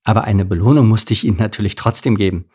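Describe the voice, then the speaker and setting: A man's voice: on the low side, fast, warm tone, breathy edge. A man voicing a shifty, sheepish male mouse in a children's story, hesitant and stammering. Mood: nervous pleading.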